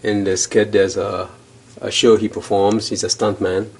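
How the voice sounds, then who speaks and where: A man's voice: on the low side, flat delivery, unhurried. A man in his fifties from Trinidad talking casually about his painting. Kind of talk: casual talk to camera about his work. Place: indoor gallery or studio. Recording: roomy, distant mic, faint noise.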